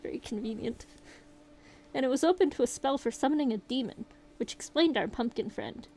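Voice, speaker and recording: A woman voicing a shaken young survivor, reading the account aloud in a steady, rueful way. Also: on the high side, fairly quick, slight background noise. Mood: grim retelling. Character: shaken young survivor recounting a horror night.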